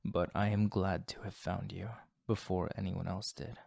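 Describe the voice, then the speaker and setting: A man playing a courteous, tormented vampire fighting his bloodlust in an ASMR roleplay, speaking formally and apologetically in a soft, intimate way. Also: low voice, little pitch movement, normal speed, gravelly, no room sound, clean studio sound, full character voice. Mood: weary, protective.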